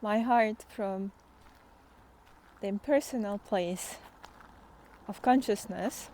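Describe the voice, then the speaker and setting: A woman giving an intimate, spontaneous spiritual talk as a guide, halting and tender, sometimes softening toward a whisper. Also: mid-range voice, slight background noise. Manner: tender, halting, with long pauses, sighs and soft laughs. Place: outdoors in nature, walking by foggy fields.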